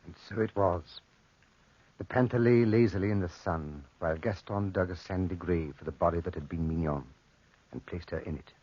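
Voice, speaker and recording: An actor as the solemn storyteller of a 1940s radio drama, reading scripted narration. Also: male voice, sits low, normal speed, mellow, husky. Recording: dull, muffled 1940s radio recording.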